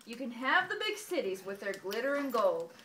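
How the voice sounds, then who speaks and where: A woman's voice: on the high side, big pitch swings, normal speed, sharp tone. A woman reading a rhyming cowboy Christmas tale aloud in a folksy, amused storytelling manner, as an amateur reader. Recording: roomy, distant mic, noisy home recording.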